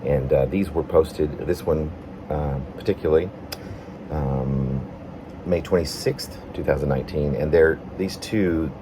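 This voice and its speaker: A man from Arkansas, a poet presenting and reading his own autobiographical work in an unhurried, personal way. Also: very deep voice, noisy background. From Arkansas, United States. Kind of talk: casual introduction, then reading aloud autobiographical prose poem.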